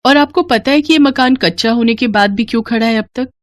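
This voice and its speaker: A woman voicing a bright, dutiful young village daughter in an audio story, eager and sweet. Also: fairly high pitch, brisk, pitched above the performer's own voice, close to an everyday voice. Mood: curious.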